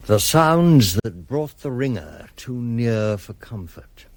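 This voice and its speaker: An actor playing the grave narrator of a radio suspense thriller, delivering dramatic scripted narration. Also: male voice, mid-range voice, big pitch swings, slow pace, a little mellow, no echo. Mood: ominous. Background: music.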